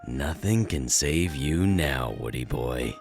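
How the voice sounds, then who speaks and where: Evil spirit in a stolen male body: extremely low, taunting, theatrical villain, audio drama with prominent music underneath. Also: lively intonation, slow pace, darkish tone, heavily acted. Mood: menacing gloating. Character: sneering evil spirit possessing a man's body, menacing villain.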